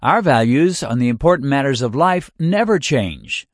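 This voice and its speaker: An older man giving a scripted first-person reading, speaking in a deliberate, measured cadence and working through long lists. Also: mid-range voice, big pitch swings, no echo, studio quality.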